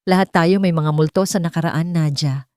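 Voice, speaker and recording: A woman's voice: deep voice, moderate pace, dark tone, no echo, studio quality, lightly acted. A woman with a Filipino accent voicing a protective, authoritative wealthy man in a romantic story. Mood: tender, earnest. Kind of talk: romantic audio drama storytelling.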